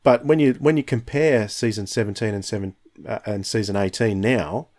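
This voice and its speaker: A man's voice: fairly low pitch, normal speed, a little mellow. A man in his fifties hosting a podcast, talking in a reflective, enthusiastic way with frequent restarts. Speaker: podcast host. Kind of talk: casual podcast discussion.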